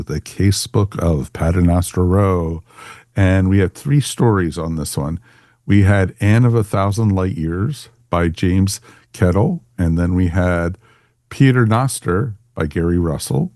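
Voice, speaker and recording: Low-voiced man hosting a casual podcast. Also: darkish tone, no echo, studio quality. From United States. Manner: chatty, rambling, welcoming. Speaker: podcast host.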